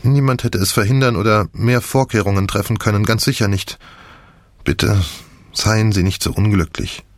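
A man's voice: fairly low pitch, moderate pace, no room sound, clean studio sound, lightly acted. A man reading an audiobook, voicing a shocked, weary young woman in a lifeless, resigned manner. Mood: listless. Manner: lifeless, flat delivery. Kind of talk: audiobook dialogue.

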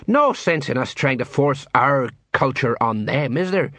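A man's voice: medium pitch, expressive, a little slow, a bit bright, no room sound. A man voicing a nervous, evasive watch sergeant with a hesitant, drawling, miserable manner.

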